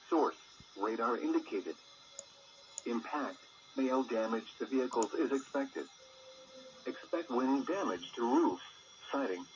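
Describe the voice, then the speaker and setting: A synthesized male radio announcer voice reading a scripted emergency weather bulletin in formal, measured phrases. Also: medium pitch. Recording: muffled, noisy weather radio audio.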